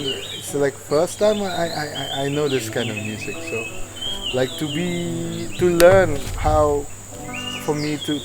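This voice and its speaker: A man from Indonesia, a musician, talking casually in an interview and often pausing to search for words. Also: medium pitch, very noisy. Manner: searching for words, many likes and uhs.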